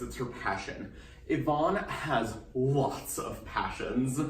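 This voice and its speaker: Man playing a showy, volatile boy magician, wildly swinging pitch. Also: lightly acted. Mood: tender, devoted. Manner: showman patter, abrupt swings between coy and explosive. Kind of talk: theatrical solo dramatic monologue. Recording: roomy, distant mic, faint noise.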